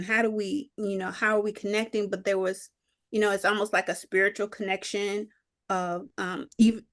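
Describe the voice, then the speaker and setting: A woman on a video call, thinking aloud in a group discussion, with many pauses, fillers and restarts. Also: medium pitch. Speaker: discussion participant.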